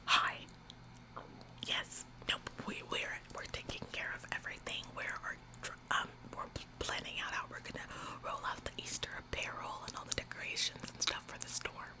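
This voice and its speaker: A woman's voice: normal speed, lightly acted, poor audio. A woman playing a bossy, self-important young retail employee in an ASMR roleplay, earnest and stammering over repeated words. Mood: deferential, eager.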